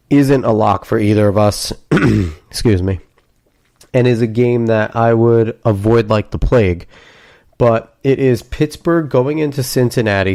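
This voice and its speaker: A man's voice: fairly low pitch, darkish tone, clear, resonant tone. A man hosting a solo sports betting podcast, sounding nasal from being sick, talking in a casual, conversational way. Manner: casual, conversational, self-deprecating. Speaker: podcast host.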